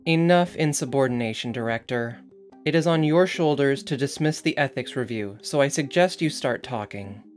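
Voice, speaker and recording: Casting: woman playing stern council interrogator, deep female register, cold and authoritative, audio drama. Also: a little mellow, soft background music. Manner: authoritative, deliberate, severe.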